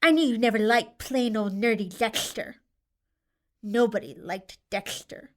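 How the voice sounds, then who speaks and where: A woman plays a pint-sized teenage master of the dead in an audio drama. He is bitter and nerdy, speaking with theatrical menace and grand self-importance in a slightly high voice. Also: unhurried, close to an everyday voice. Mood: smug, bitter. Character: small bitter nerdy teenage boy necromancer villain.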